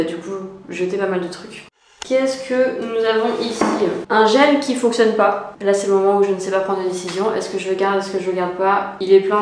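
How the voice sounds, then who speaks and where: A young woman chatting casually to camera in a lifestyle vlog, playful and slangy, with quick asides. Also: medium pitch, a little hiss or hum. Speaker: lifestyle vlogger.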